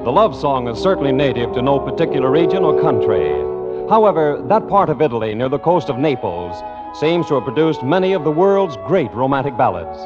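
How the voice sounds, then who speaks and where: A man's voice: medium pitch, sing-song, a little fast, over loud music. A man announcing in a scripted 1940s radio style, with a polished, genial sales delivery. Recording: dull old radio recording, long reverb.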